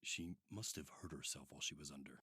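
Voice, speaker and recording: Deep, husky-voiced persuasive male doctor. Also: fast, bright, forceful tone, dry recording, studio quality, full character voice. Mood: persuasive, paternal. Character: calm, persuasive male therapist at sinister institute. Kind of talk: modern radio drama acting.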